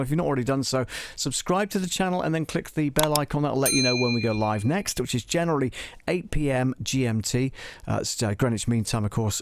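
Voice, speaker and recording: A man's voice: mid-range voice, dry recording. A man hosting a live tutorial in a casual, rambling, self-deprecating way. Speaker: host of a live audio production tutorial.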